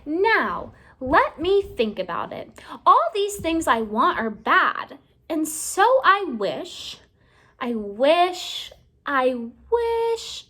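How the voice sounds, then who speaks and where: A woman with a high voice reads a children's story aloud. Her pitch rises and falls in a lively way, and she takes her time, stretching words out for young listeners. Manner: expressive, deliberate phrasing with drawn-out words for young listeners. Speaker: teacher reading a storybook aloud. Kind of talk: children's read-aloud narration.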